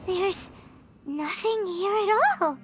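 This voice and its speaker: A tiny fairy companion in a video game, with a piping, very high childlike voice. The delivery is slow, curious and easily spooked, over background music. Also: female voice, animated, heavily acted. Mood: puzzled. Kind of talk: English game dub cutscene acting.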